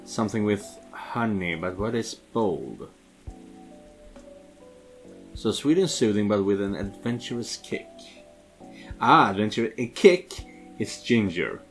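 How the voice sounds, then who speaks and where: Male streamer, very animated range, casual and rambling, music under the voice. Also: fairly low pitch, takes it slowly, pauses often. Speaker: streamer playing and commenting on a visual novel. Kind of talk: casual let's play commentary.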